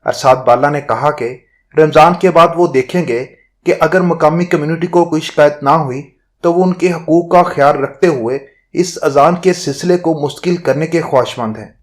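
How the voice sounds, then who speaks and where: A man narrating a scripted news report in a formal, measured manner. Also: a little high.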